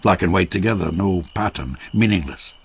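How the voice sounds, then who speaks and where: An actor playing a widowed peasant farmer, boisterous and fond of drink, who rambles jovially and turns reflective in a radio drama. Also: male voice, deep voice, lively intonation, moderate pace, vocal fry, a little hiss or hum. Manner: jovial, rambling, slightly tipsy. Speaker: Takeshi, a farmer friend.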